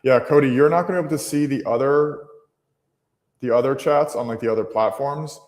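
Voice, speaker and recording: A man's voice: mid-range voice, brisk, darkish tone, in a large hall. A man hosting a live trading stream, talking casually and thinking aloud, with many fillers and restarts.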